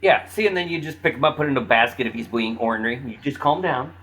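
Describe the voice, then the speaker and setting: A man talks casually on a podcast panel in a bright, forceful voice with a husky rasp. His pitch is middling and his style is conversational. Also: quick pace, poor audio.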